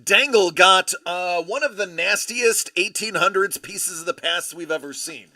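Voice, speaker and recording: A man's voice: high voice, measured pace, bright, forceful tone, no echo. A man hosting a live card break stream, chatting casually and telling rambling, joking stories. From Buffalo area, USA.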